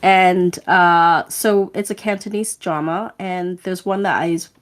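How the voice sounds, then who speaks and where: A woman's voice: fairly low pitch, steady tone, a little slow. A woman co-hosting a podcast, chatting casually and rambling a little with fillers and restarts. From Hong Kong. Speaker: podcast co-host.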